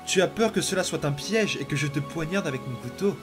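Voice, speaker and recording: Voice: mid-range voice, expressive, a little fast, natural voice. Unhinged demon boy played by an actor, comic dub: domineering, taunting, theatrical, music underneath. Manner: menacing, taunting, theatrical outbursts and mutters. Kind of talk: dramatic comic fan-dub acting.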